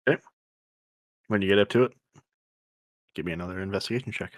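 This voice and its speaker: A man's voice: deep voice, quick pace, no echo, clean studio sound. A man acting as game master, narrating a tabletop role-playing game in an informal, slangy, conversational way.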